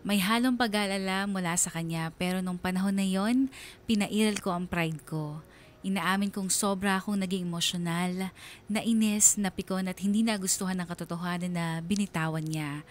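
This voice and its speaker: A woman's voice: medium pitch, normal speed, slight background noise, lightly acted. An actress playing a regretful, self-doubting young woman who narrates her own story in a confessional radio drama style. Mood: regretful, reflective. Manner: confessional, reflective. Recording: music bed under the voice. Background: background music.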